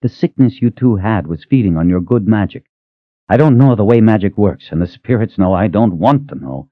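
A man voicing a big gruff middle-aged male coachman who sounds weary and patient. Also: lowish voice, expressive, moderate pace, no echo, dull audio.

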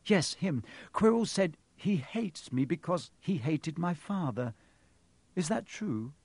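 A man's voice: a little high, big pitch swings, slow, darkish tone, slightly rough, no echo, voice pushed up, heavily acted. A man voicing an earnest, curious schoolboy wizard in a storybook, thoughtful and questioning in his manner.

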